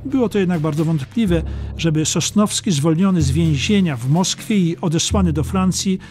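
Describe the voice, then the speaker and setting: A man narrating a historical audiobook from a script in a deliberate, dramatic storytelling way. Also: high voice, darkish tone.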